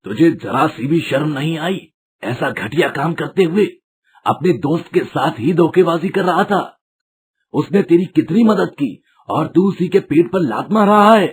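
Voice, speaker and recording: A man playing an angry villager who scolds forcefully in a moral story, with a husky voice. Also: a little high, lively intonation, measured pace, pitched above the performer's own voice, exaggerated voice. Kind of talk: dramatised moral story acting.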